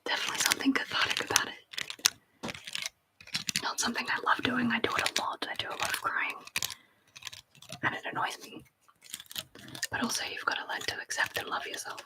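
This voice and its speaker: A woman's voice: fairly quick, long pauses, rough recording. A woman whispering into a close mic at her home desk, rambling chattily to a live stream audience. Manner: soft rambling whisper, chatty and self-deprecating. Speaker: ASMR streamer. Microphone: sensitive close mic.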